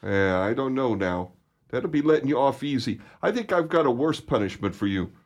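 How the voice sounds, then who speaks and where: A man plays a fatherly policeman in an old-time radio comedy. His medium-pitched voice rises and falls expressively, carrying wry, avuncular authority. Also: lively intonation, moderate pace. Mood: fatherly, amused. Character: paternal, wry policeman on the beat.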